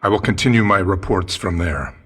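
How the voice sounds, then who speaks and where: A man playing a grave radio newsman, delivering a formal, measured bulletin in a radio drama. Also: deep voice, fairly bright tone, faint music behind. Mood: grave, solemn. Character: grave male radio newsman announcing disaster.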